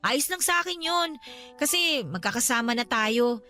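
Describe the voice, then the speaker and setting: An actress playing a devoted young adult Filipino daughter, gentle, respectful and reassuring, in a radio drama. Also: female voice, on the high side, animated, normal speed, faint music behind. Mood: loving, reassuring. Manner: caring, respectful. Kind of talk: Tagalog radio drama acting.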